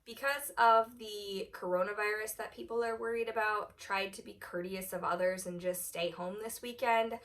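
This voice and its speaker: A woman with a slight cold and a hoarse voice, chatting through a home cooking tutorial step by step in her kitchen. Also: medium pitch, fairly even intonation, normal speed, hardly any pauses, a bit bright. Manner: chatty, explains steps plainly. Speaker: cooking channel host. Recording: voice a little far from the mic.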